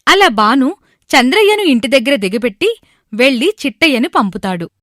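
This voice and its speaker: A woman's voice: sits high, big pitch swings, normal speed, fairly bright tone, no room sound, studio quality. A woman reading a scripted narration of a moral story.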